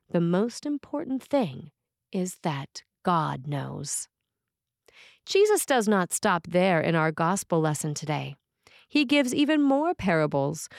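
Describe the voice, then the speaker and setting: Low-pitched woman reading a sermon. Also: a little mellow, no room sound, studio quality. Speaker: preacher, priest. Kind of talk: scripted sermon read aloud.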